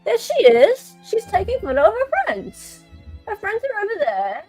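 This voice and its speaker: A woman hosting casual commentary in an animated, blunt way. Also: extremely high pitch, moderate pace, faint music behind, poor audio. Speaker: host.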